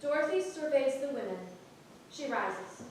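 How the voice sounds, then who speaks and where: A woman's voice: sits high, expressive, measured pace. A woman reading stage directions as scripted narration at a staged play reading. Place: playhouse stage. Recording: echoey theatre from far off, noisy.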